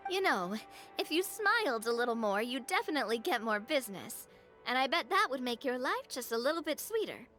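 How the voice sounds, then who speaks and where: Bright, encouraging young lady. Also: sits high, very animated, a little fast, faint music behind, exaggerated voice. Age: young adult. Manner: warm, encouraging, gently persuasive. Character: upbeat, kind young noblewoman with a sweet tooth. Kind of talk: video game dialogue.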